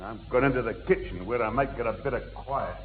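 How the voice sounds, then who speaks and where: A man's voice: mid-range voice, quick pace, phone-quality sound, noisy background, natural voice. A man playing an irritable old pensioner grandfather, peevish and formal, in a 1960s radio sitcom. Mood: irritated. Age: older. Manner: peevish, muttering, sarcastically formal.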